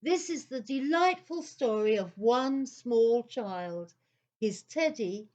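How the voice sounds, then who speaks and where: A woman playing a patient storyteller in a children's audio play, narrating in a deliberate, expressive, theatrical way. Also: on the high side, dull audio. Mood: storytelling. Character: patient female storyteller, gently exasperated with her characters.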